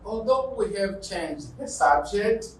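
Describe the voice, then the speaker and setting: A man's voice: sits high, animated, slow pace. Hesitant man, distant and echoey. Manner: hesitant, many filler sounds. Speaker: host reading viewer questions. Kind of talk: live online interview. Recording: echoey, distant from mic, faint noise.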